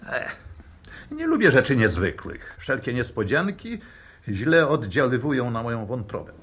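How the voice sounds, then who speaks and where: An actor playing an uneasy, grumbling professor with a husky voice and a brooding manner in a 1960s radio drama. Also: male voice, medium pitch, big pitch swings, moderate pace, fairly bright tone, exaggerated voice. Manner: hesitant, brooding.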